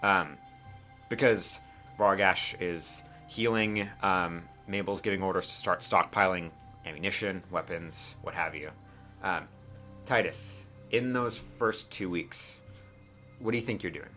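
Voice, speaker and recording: A man's voice: sits low, unhurried, bright, forceful tone, soft background music. A man acting as game master, casually narrating a tabletop game and talking directly to his players in a hesitant, conversational way.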